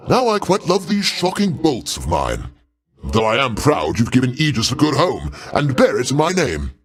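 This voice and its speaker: Older ruler of the gods, video game character, jovial, pompous, theatrical, avuncular, genteel archaic phrasing, extremely animated intonation. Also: male voice, mid-range voice, husky. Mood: jovial, boastful.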